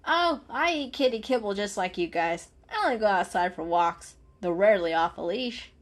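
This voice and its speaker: A woman's voice: mid-range voice, animated, moderate pace, lightly acted. A woman voicing a friendly, carefree travelling cat in a children's story, chatty, upbeat and emphatic. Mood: chatty, proud.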